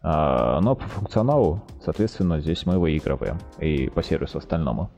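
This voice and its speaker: Low-voiced man, halting explainer, music behind. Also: expressive, dark tone, highs cut off. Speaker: guest, telecom equipment maker's project manager. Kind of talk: explaining products in a podcast interview.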